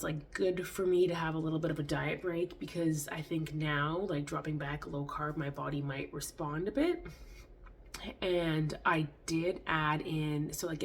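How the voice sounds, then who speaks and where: A woman from Canada with a congested, stuffy nose, chatting casually to camera in a rambling way, full of "like" and restarts. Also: deep voice. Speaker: fitness vlogger.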